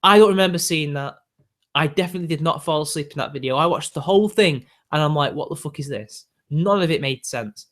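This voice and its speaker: British guy in his twenties, young sounding voice a bit high, ranting to his viewers, lots of "like" and "innit". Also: fairly quick, a bit bright. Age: 20s. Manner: ranty, exasperated, filler-heavy. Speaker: YouTube host. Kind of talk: casual ranting chat to viewers.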